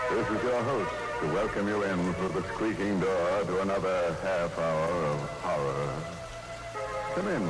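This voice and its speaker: Sinister radio horror host, very deep voice, ghoulish drawl, music loud behind. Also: male voice, low-quality recording. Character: sardonic male horror-show host, macabre joker. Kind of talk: 1940s radio drama.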